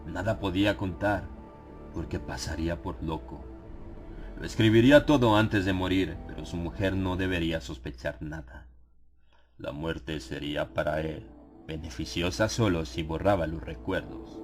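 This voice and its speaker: A man narrating a dramatized horror audiobook in a grave, theatrical manner with dramatic pauses. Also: low-pitched. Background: background music.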